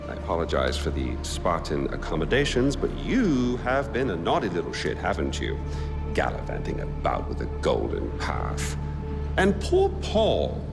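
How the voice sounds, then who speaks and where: A man's voice: sits low, huge pitch swings, normal speed, gravelly, over music, exaggerated voice. A man playing a flamboyant, sadistic tyrant king in a video game, theatrical and sardonic, with mock-polite, foul-mouthed charm. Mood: mocking, playful. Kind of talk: video game cutscene acting.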